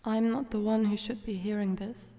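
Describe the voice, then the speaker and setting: A woman's voice: medium pitch, steady tone, normal speed, mellow, in a large hall, lightly acted. A woman playing a sluggish, reluctant body-swapped character in an audio drama. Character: sluggish, reluctant person trapped in another's body.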